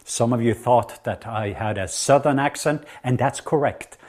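A man's voice: mid-range voice, normal speed, a little mellow. A middle-aged man from southwest Norway with a Norwegian accent, preaching a sermon in an earnest, emphatic way with many fillers.

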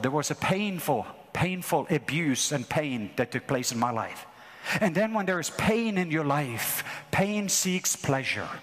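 A Norwegian man in his fifties preaching a sermon with excitable, repetitive call-and-response phrasing. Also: fairly high pitch, in a large hall. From Norway. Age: 50s. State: has a mild ear infection.

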